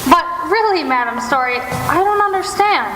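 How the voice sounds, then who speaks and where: A woman's voice: very high-pitched, animated, normal speed, music in the background. A woman playing a fluttery, anxious murder suspect in an old time radio mystery, exclamatory and melodramatic. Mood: flustered. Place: theater stage. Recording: echoey hall, faint noise.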